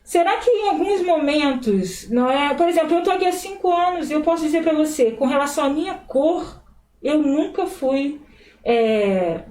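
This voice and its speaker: A woman's voice: high voice, normal speed, roomy sound. A woman from Rio de Janeiro hosting an interview, telling stories expressively. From Brazil, Rio de Janeiro. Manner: expressive, animated storytelling. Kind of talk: conversational interview.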